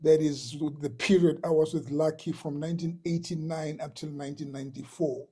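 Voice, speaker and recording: An older man from Tanzania, a musician being interviewed, telling his stories slowly with many pauses and repeated words. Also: fairly high pitch, fairly even intonation, dark tone, husky. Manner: slow, hesitant, with many uhs and repeats. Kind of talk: relaxed storytelling.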